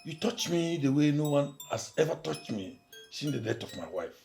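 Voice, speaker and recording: A man playing a courtly, persistent older village chief with a Nigerian accent in a film drama, speaking in a deliberate, declamatory way. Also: a little high, very animated, vocal fry, a little mellow, faint music behind. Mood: earnest, persuasive. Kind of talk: naturalistic film drama dialogue.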